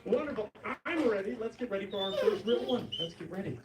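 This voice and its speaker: A man hosting a dating show in a lively, playful way. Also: brisk. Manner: animated, playful, big pitch swings. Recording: roomy, distant mic with noise.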